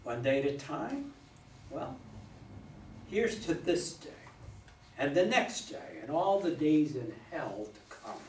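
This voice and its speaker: A man acting a grieving, bitter widower and recovering drinker in a staged play reading, halting and terse. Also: on the high side, big pitch swings, darkish tone, full character voice. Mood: anguished outburst. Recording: roomy, distant mic, faint noise.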